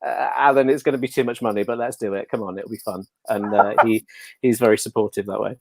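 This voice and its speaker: English male, low register, dry sound. Relaxed anecdotal interview guest, jokey and self-mocking. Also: fast, gravelly, dry recording. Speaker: interview guest, pantomime dame performer. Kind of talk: casual chat, anecdotal.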